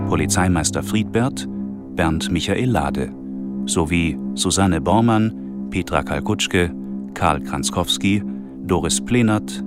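A man reading the closing credits of a radio play as a scripted announcement. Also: low voice. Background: loud guitar music.

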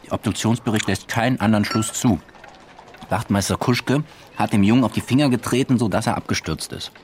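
A man's voice: fairly low pitch, brisk, slight background noise, lightly acted. A man playing a careful junior police detective in a radio drama, reporting in a factual, reserved way. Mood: careful, deferential. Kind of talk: German radio drama acting.

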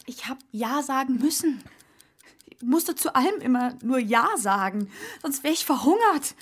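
High, husky, miserable bride. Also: female voice, animated, moderate pace. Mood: resigned. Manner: plaintive, resigned. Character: miserable, resigned young bride trapped in marriage. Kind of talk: theatrical German radio drama acting.

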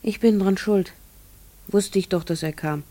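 Actress as a troubled, secretive farmer's wife in radio drama. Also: female voice, medium pitch, a little slow, mellow, natural voice. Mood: confiding, hushed. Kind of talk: German radio play acting.

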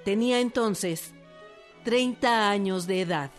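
Mid-pitched Mexican woman narrating radio history. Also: takes it slowly, soft background music. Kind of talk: scripted documentary narration for radio drama.

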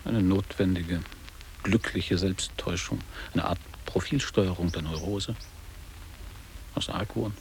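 An actor playing a bitter, disillusioned middle-aged former boarding-school pupil in a literary radio drama, speaking in measured, sententious declamation. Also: male voice, low-pitched. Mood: sober, dismissive. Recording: reverberant echoing hall, noticeable hiss.

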